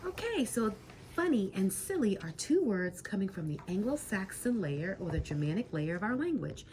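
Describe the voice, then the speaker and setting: A woman's voice: medium pitch, very animated, normal speed, a little mellow, a little hiss or hum. A woman teaching a lesson with questions to a student, encouraging and emphatic, drawing out key words.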